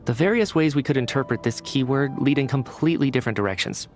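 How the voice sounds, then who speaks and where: An actor playing an earnest, careful young male researcher in a video game, speaking in a deliberate, articulate way as he reasons aloud. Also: medium pitch, a little mellow, faint music behind, natural voice. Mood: deliberate reasoning. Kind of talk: anime-style video game cutscene acting.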